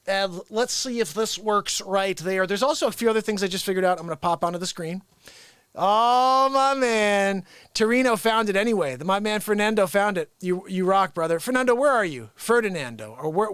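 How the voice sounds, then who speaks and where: High-voiced excitable man hosting a livestream. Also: moderate pace, clean tone, no echo, studio quality. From United States. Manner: rambling, excitable, chuckles often; hypes the show with catchphrases. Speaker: livestream show host. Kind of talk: unscripted live-stream chat with viewers.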